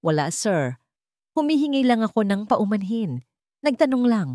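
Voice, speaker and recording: A woman's voice: mid-range voice, very animated, moderate pace, warm tone, dry recording, voice pushed up, close to an everyday voice. Casting: meek young heroine of an audio romance acted by a woman narrator, apologetic, wounded, pleading, dry close sound. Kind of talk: dramatic audio love story.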